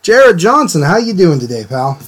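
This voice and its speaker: A man hosting a live drawing stream, a little hoarse from a cold, chatting casually and greeting viewers by name. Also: high-pitched, very animated, normal speed, slight background noise. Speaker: art stream host. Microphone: desk mic, sometimes turned away from it.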